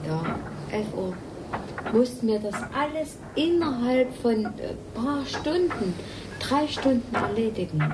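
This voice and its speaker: A distressed middle-aged woman recounting personal events in halting, insistent, repetitive phrases. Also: a little high, animated. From East Germany. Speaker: mother recounting her children's departure. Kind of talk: emotional documentary recollection. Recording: very noisy location recording.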